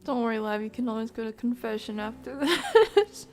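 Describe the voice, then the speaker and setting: A woman's voice: on the high side, big pitch swings, moderate pace, darkish tone. A woman doing casual live game commentary as a streamer, jokey and slangy, with mild exclamations in place of swearing.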